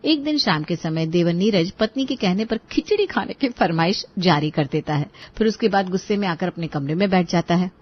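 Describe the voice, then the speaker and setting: A woman's voice: lowish voice, animated, fairly quick, hardly any pauses, highs cut off. A woman reading scripted narration for a Hindi family cartoon story.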